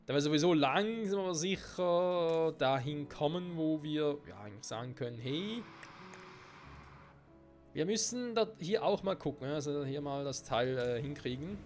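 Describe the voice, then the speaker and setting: A man's voice: fairly high pitch, animated, moderate pace, a little mellow. A man with a cold giving casual gameplay commentary, swearing and thinking aloud to himself. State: stuffy-nosed with a cold. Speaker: let's play gamer. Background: faint game music.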